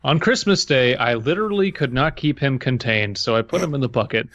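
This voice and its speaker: A man's voice: medium pitch, expressive, fairly quick, a bit bright, no room sound, highs cut off. A man co-hosting a podcast, talking casually and reading a story aloud. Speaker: podcast co-host. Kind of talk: casual banter and first-person story reading.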